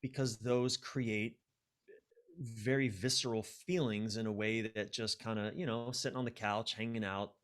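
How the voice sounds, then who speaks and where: Low-voiced man, reflective and emphatic. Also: fairly even intonation, fairly bright tone. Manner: reflective, halting, with emphatic repetition of key words. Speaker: podcast guest, life and relationship coach. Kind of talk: conversational podcast interview, explaining ideas.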